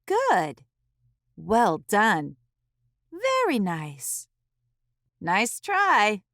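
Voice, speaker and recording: A woman's voice: mid-range voice, huge pitch swings, extremely slow, a bit bright, no echo, clean studio sound, exaggerated voice. A woman voicing a proper, refined French mother poodle with a prim, exclamatory manner in a children's storybook. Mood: prim, approving.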